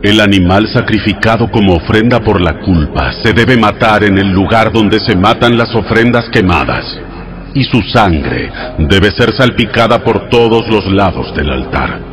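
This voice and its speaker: Deep-voiced man reading scripture solemnly. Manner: measured, formal, instructive delivery. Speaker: audio Bible narrator. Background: background music.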